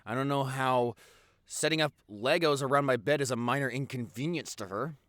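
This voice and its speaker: A man's voice: medium pitch, a bit bright, dry recording, clean studio sound, lightly acted. A man playing a teasing, silly, doting boyfriend who has just woken up, rambling and gushing in an intimate audio roleplay. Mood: animated storytelling. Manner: rambling, gushing, halting with repeated words.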